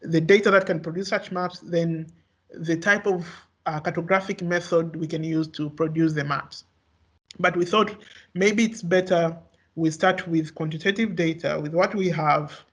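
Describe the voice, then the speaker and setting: A young man whose first language is Swahili gives an academic research talk, halting often with fillers and restarts. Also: on the high side, fairly even intonation, a bit bright. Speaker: researcher presenting.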